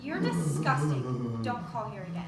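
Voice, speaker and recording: A woman's voice: fairly low pitch, wildly swooping pitch, moderate pace, a loud crowd, voice pushed down. An actress reading a young woman who snaps at a creepy caller, in a blunt, disgusted delivery. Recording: echoey room, distant mic.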